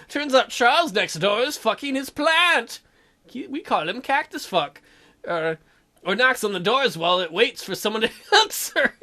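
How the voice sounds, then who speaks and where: A man hosting a let's play, reading a dating sim's dialogue aloud and cracking crude jokes between the lines. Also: high voice. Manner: animated, jokey, reads character lines in shifting voices. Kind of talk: reading a dating sim aloud with joking commentary.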